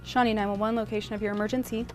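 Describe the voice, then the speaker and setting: A woman's voice: medium pitch, level tone, racing. A woman working as an emergency dispatcher, handling calls in a procedural way. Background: background music.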